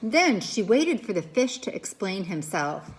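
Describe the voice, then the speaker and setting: A woman reading a children's book aloud as a teacher would, with expressive narration, dramatic pauses and questions for young listeners. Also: medium pitch, poor audio.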